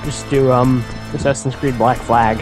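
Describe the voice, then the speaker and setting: A man's voice: medium pitch, fairly even intonation, normal speed. A man chatting casually and jokingly as co-commentator on a video game. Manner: jokey. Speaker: let's play co-commentator. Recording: game music behind voice. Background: video game music.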